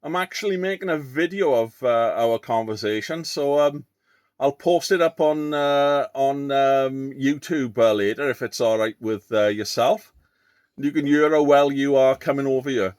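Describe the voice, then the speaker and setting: A man's voice: medium pitch, normal speed, darkish tone, smooth, no room sound, clean studio sound. A man chatting casually as a CB radio operator, hesitant and often repeating himself. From Wales. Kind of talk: casual radio contact chat.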